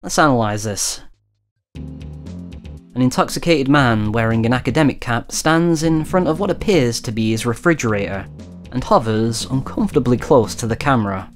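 A man's voice: medium pitch, moderate pace, soft background music. Wry, conversational male narrator. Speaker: documentary narrator. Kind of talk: scripted video-essay narration.

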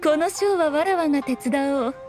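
Woman acting fox shrine maiden, high voice, lofty archaic phrasing, self-assured, teasing, game dialogue, faint music. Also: lightly acted. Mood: sly, scheming. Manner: archaic, lofty, unhurried speech with teasing composure.

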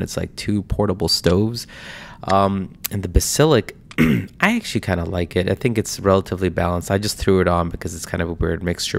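A man's voice: low-pitched, gravelly. A man with a stuffy, nasal voice from a cold, giving rambling casual commentary over a mobile game. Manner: rambling, filler-heavy. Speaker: mobile game video host.

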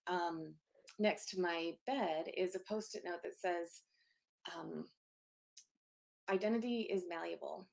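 A soft-spoken woman in her thirties, a guest telling a personal story over a video call from her home office. Her voice carries a gravelly fry, and she speaks openly and earnestly, pausing on fillers as she goes. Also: mid-range voice. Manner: open and earnest, many fillers.

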